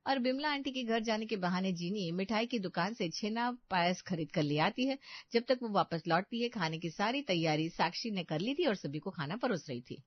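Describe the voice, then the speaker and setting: A woman's voice: medium pitch, animated, moderate pace, hardly any pauses, dry recording, highs cut off. A woman narrating a scripted family story.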